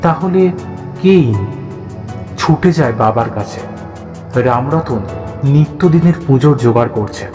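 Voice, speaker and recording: A man's voice: mid-range voice, expressive, a little slow, pauses often, mellow, in a large hall. A man narrating a scripted horror audio story in a dramatic storytelling style. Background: background music.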